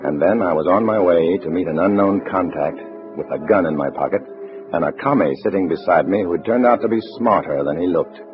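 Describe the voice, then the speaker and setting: An actor playing a tough, wary undercover agent in a 1950s radio drama, with a clipped, wry, hard-boiled delivery. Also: male voice, deep voice, fairly quick, lightly acted. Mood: grim narrating. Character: tough, wary male undercover agent posing as communist comrade. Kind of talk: 1950s radio drama acting with first-person narration. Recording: muffled old radio recording, loud music.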